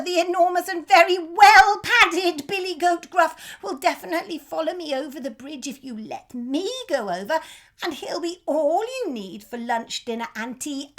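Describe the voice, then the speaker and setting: A woman voicing a frightened middle-sized male billy goat with a high, bleating voice in a storybook reading. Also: bright, forceful tone, full character voice.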